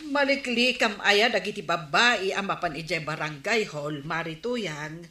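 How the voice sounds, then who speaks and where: Bright-voiced, indignant captain's wife. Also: female voice, lowish voice, unhurried, roomy sound. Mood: suspicious. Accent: Ilocano. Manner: nagging, scolding, exclamatory. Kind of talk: Ilocano comedy radio drama acting.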